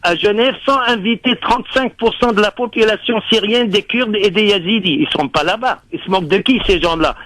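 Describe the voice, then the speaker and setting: A man from Lebanon calling in to a radio show, talking in a halting, rambling way. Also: high-pitched, a bit bright, slight background noise. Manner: rambling, halting, heavy pauses. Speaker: radio caller. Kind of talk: call-in radio talk.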